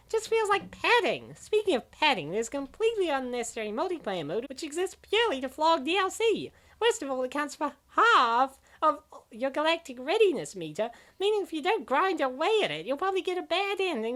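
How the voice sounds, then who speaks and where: A woman's voice: high-pitched, fairly bright tone. A woman playing an opinionated gamer who grumbles wryly and wordily about video games in a scripted comic dub. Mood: exasperated griping. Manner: wry, wordy complaining.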